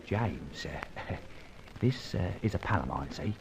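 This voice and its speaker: An actor playing a chummy, wary small-time crook, slangy and confiding, in old-time radio detective style. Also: male voice, sits low, expressive, takes it slowly, gravelly, full character voice. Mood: chummy, furtive. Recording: muffled, hissy old radio recording.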